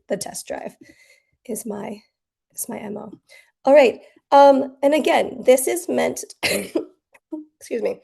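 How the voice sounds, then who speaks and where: An American woman with a raspy, hoarse voice from the flu, teaching a bookkeeping webinar in a friendly, chatty way with many fillers. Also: medium pitch, mellow. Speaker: bookkeeping instructor. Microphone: computer mic on a video call.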